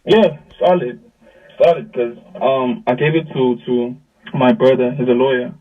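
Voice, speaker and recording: A man's voice: mid-range voice, unhurried. A young South African man chatting casually in slangy storytelling style, acting out bits of dialogue, over a video call. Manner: slangy, animated retelling with quoted dialogue. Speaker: music artist, interview guest. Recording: thin phone-like video call, distant.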